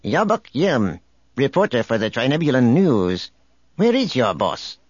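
A man's voice: mid-range voice, very animated, a little slow, breathy edge, no echo, highs cut off. A man playing a wary, streetwise alien news reporter in a sci-fi audiobook, talking informally like a hustler. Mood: brisk, probing.

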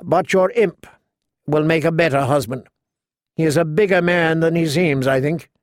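A man's voice: a little high, normal speed, dry recording, clean studio sound, lightly acted. Man acting a gallant young knight, lifted courtly voice. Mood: gently concerned. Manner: courtly, gentle, earnest. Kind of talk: audiobook character voice.

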